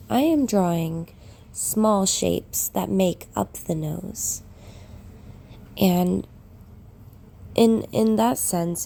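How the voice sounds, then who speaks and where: Croaky woman with a cold, slow drawing tutorial voice-over, low-ish mellow tone. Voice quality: gravelly. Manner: unhurried, talks while shading. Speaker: art tutorial narrator.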